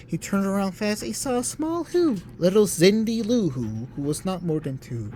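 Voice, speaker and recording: A narrator giving a theatrical, expressive read-aloud of a rhyming children's story. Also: medium pitch, moderate pace, slight background noise.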